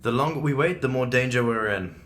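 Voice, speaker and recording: An actor playing a brusque, secretive agent in an audio drama, speaking in a clipped, formal, scornful manner. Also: male voice, mid-range voice, fairly quick, fairly bright tone, natural voice. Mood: curt, impatient. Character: brusque, secretive male agent, impatient and dismissive.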